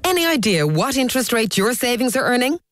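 A woman's voice: fairly high pitch, sing-song, fast talker, loud music behind the voice. Woman reading a persuasive ad. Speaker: ad voice-over. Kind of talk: scripted advertisement.

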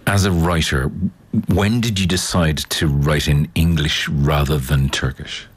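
A man interviewing a novelist, asking questions with halting, stumbling phrasing. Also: extremely low pitch, steady tone, slightly rough.

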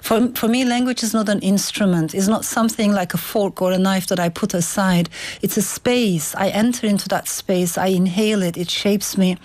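A woman's voice: medium pitch, fairly even intonation, darkish tone. Turkish-born woman novelist, thoughtful. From Turkey. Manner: thoughtful, measured, with restarts. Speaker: novelist guest. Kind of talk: reflective interview answers.

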